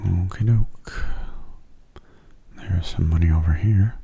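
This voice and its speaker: A man giving soft-spoken, half-whispered ASMR commentary over a video game, in a gentle, unhurried way. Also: low-pitched, creaky, slightly rough.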